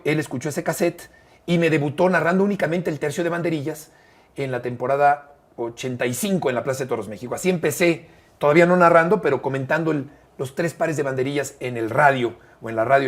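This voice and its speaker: A man in his fifties with a Mexican accent, speaking in a fairly high voice. He tells his stories expressively and with emphasis, with frequent "eh" fillers between phrases. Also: fast. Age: 50s. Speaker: sports commentator guest. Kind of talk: conversational interview storytelling.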